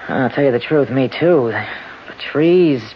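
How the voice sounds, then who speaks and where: An actor playing a nervous but gallant lost young man, slangy and halting, in 1970s radio drama style. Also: mid-range voice, lively intonation. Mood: uneasy. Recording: dull, noisy old radio broadcast recording.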